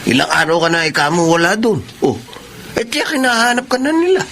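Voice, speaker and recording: A man's voice: high voice, sing-song, a little fast, fairly bright tone, a little hiss or hum, exaggerated voice. An actor playing a sly, lecherous man in a Filipino radio drama, over-friendly and oily in manner. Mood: jovial, sly. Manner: exaggerated, oily, chuckling. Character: sly, lecherous male drinking buddy, over-friendly and fawning. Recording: radio drama with music underneath.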